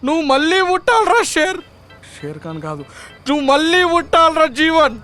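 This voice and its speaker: A woman playing a loud, melodramatic mourner in a comedy web series, wailing and rambling in Telangana Telugu. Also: extremely high pitch, sing-song, a little fast, faint music behind, exaggerated voice. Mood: melodramatic mourning.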